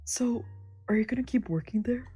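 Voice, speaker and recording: A woman's voice: a little high, brisk, dark tone, slightly rough, dry recording, soft background music, pitched above the performer's own voice. A woman voicing a smug, teasing male bar worker with a casual, breezy manner in a visual novel read-aloud. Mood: friendly.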